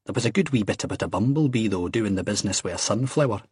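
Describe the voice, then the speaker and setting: A man's voice: lowish voice, animated, quick pace, darkish tone, breathy edge, no room sound, studio quality. A man voicing an earnest, cheeky young Scottish man in an audiobook, blunt and insistent. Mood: indignant banter.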